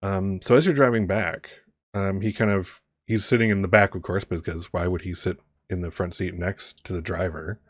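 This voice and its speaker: A man's voice: deep voice, steady tone, normal speed, no echo, clean studio sound. A man narrating a tabletop role-playing game as game master, conversational and rambling, often correcting himself.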